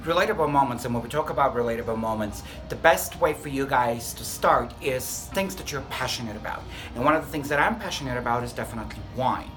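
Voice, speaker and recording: A man's voice: medium pitch, expressive, fast talker, few pauses, a bit bright, soft background music. A man with a Bulgarian accent, a restaurant lead trainer answering interview questions earnestly and enthusiastically, sometimes correcting himself mid-sentence. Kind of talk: workplace interview.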